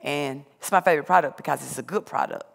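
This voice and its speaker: A woman's voice: low-pitched, quick pace, gravelly, heavy background noise. A middle-aged woman giving a plainspoken, conversational personal testimony on a theater stage through a headset microphone. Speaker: speaker sharing personal testimony. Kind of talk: personal testimony to a live audience. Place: large theater stage, indoors. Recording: hall reverb from stage sound.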